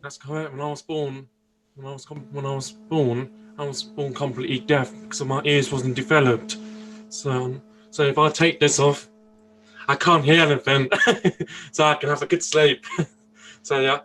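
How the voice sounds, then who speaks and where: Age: 20s. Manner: earnest, halting, flat. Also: male voice, mid-range voice. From Austria. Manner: earnest, halting, frequent restarts and searching for words. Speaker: interview guest. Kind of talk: casual interview answers. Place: indoors, seated interview.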